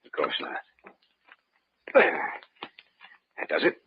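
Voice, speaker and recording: An actor playing an obsessed, coolly confident doctor of science in old-time radio drama, formal and authoritative. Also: male voice, slow pace, lightly acted. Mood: brisk, assured. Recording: muffled, noisy old-time radio recording.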